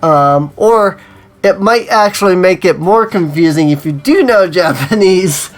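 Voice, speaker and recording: A woman's voice: fairly low pitch, animated, measured pace. A woman reading a story aloud and breaking off for casual, conversational commentary. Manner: conversational asides. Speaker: host reading a visual novel aloud.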